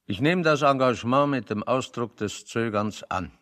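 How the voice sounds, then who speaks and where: An actor playing a weary, eloquent refugee intellectual in a radio play, speaking in a deliberate, rhetorical and dryly ironic way. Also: male voice, medium pitch, fairly bright tone, lightly acted. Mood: hesitant, wry. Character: weary, eloquent male refugee intellectual, sick of being virtuous. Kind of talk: 1970 German radio play dialogue.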